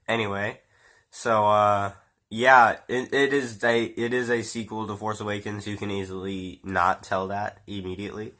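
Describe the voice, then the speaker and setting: A man's voice: lowish voice, takes it slowly, long pauses, a bit bright. A man with a stuffy nose from a cold, giving a rambling, casual spoken film review with fillers and the odd swear. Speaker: movie reviewer.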